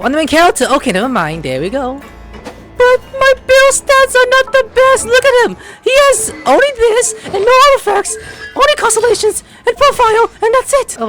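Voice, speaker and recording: Animated man doing casual gameplay commentary. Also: extremely high pitch. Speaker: gaming video host. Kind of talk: casual gameplay commentary, reading dialogue aloud. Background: game music.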